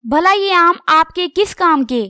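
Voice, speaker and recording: A woman's voice: very high-pitched, lively intonation, a little slow, pushed and bright, no echo, clean studio sound, heavily acted. A woman voicing a friendly, polite little female bird who sells mangoes in a children's cartoon. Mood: pleading, puzzled.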